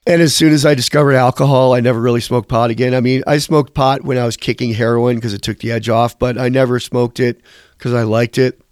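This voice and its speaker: A man's voice: mid-range voice, steady tone, a little fast, a little mellow, no echo, studio quality. A man being interviewed as a musician, telling candid, rambling stories and swearing casually. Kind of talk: candid conversational storytelling.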